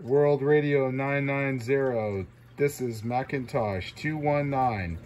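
A man makes radio call-outs at a slow pace, repeating short clipped call signs. His pitch is middling. Clear static hiss runs through the recording. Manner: repetitive, clipped callsign phrases. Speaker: CB radio operator.

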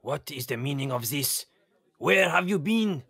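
An imperious, raspy head chef. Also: male voice, fairly high pitch, expressive, a little slow, sharp tone, no room sound, exaggerated voice. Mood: stern, indignant. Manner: indignant, imperious. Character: furious, pompous adult male French head chef. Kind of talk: children's audio drama acting.

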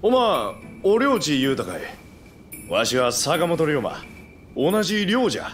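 A man's voice: a little high, a little slow, a little hiss or hum. A man playing a hearty, impulsive young samurai with a rustic Tosa accent in a Japanese video game. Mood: eager. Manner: rustic, familiar, expressive.

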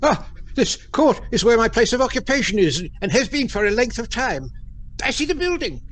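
An actor playing an old repentant miser in a radio play, with fervent, theatrical, exclamatory delivery. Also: male voice, extremely high pitch, big pitch swings, normal speed, a bit bright, exaggerated voice. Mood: anxious.

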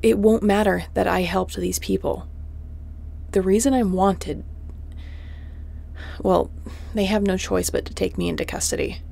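A woman playing a tough, wry, protective space mercenary captain in a sci-fi audio roleplay, terse and commanding, often hushed. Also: mid-range voice, steady tone, a little slow, vocal fry, pauses often. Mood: wry, resigned.

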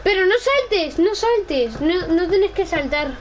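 High-pitched young Spanish gamer woman. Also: lively intonation, slow pace, a little hiss or hum, low-quality recording. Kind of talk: casual gameplay role-play chat.

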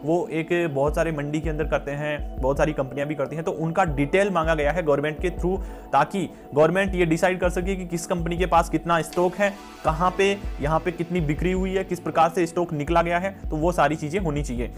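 A man's voice: fairly high pitch, steady tone, fairly quick, soft background music. An Indian man hosting market news, explaining things informally and repetitively while addressing listeners directly. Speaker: host of a stock market news channel. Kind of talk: informal explanatory news commentary over slides.

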